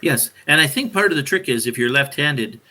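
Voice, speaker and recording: A man with a medium-pitched, bright and forceful voice explains scripture in a casual podcast chat. He speaks haltingly, often doubling back on his phrases as he goes. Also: lively intonation.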